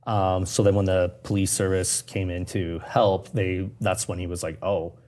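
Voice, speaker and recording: A man with a deep voice and a Canadian accent, whose first language is Persian. He talks as a podcast guest in an informal, conversational way, often slipping into quoted reactions as he tells his story. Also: flat delivery, normal speed, darkish tone. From Canada, moved from Iran as a young child. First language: Persian (Farsi). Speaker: podcast guest, police constable.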